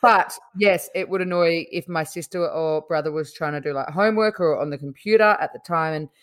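Aussie mum in her 30s, deep voice, chatty and thoughtful, lots of likes and ums. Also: brisk. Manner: chatty, reflective, lots of fillers. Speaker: podcast co-host. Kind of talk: casual chat.

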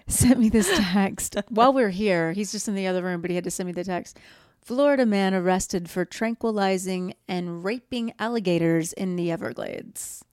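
Thirties woman, slightly raspy, under the weather, animated and giggly co-host; dry, close sound. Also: medium pitch, normal speed, dry recording. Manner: laughs often, animated storytelling. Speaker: podcast co-host. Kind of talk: casual chat.

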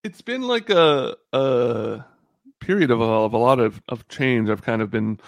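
A man in his thirties talks as a podcast guest in a loose, casual way. His voice has a creaky edge and sits at a middle pitch. He is halting and rambling, with a joking streak, and the sound is close and dry. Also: expressive, no echo. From born in the Bahamas. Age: 30s. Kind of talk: casual chat.

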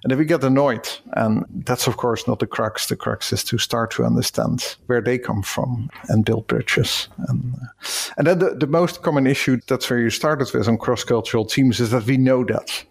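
A man's voice: medium pitch, moderate pace, vocal fry, husky. A Dutch man with a Dutch accent, a cross-cultural consultant explaining ideas thoughtfully in a podcast interview. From Netherlands.

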